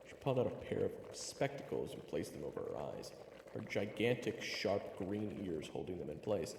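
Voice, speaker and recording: A man playing an excitable, sarcastic young adventurer who tells his story in a fantasy audio roleplay. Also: low-pitched, moderate pace, vocal fry, echoey room, big-room sound, background noise, full character voice. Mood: narrating. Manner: expressive, sarcastic, jumpy. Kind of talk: first-person narration and audio roleplay acting.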